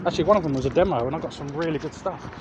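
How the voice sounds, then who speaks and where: A man's voice: fairly high pitch, very fast. A man chatting casually to viewers as a vlog host, hesitant and often correcting himself mid-sentence. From England. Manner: hesitant, self-correcting, repeats words. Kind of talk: casual rambling chat to viewers. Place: outdoors, walking along urban streets. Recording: outdoor recording with traffic noise.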